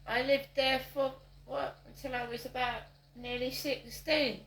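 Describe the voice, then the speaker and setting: A middle-aged woman chatting casually in a vlog, meandering slowly with many ums and restarts. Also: sits high, flat delivery, a bit bright, reverberant, low-quality recording. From South East London. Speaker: vlogger. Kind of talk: casual rambling vlog monologue. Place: outdoors on a walk with a dog.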